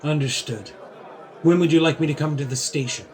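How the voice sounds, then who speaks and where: A man's voice: on the high side, brisk, a little mellow, some chatter behind, lightly acted. A man acting a plucky, wrongly accused adventurer in an audio play, urgent and suave in manner. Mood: calm, relieved. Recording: noisy home-recorded audio on consumer device.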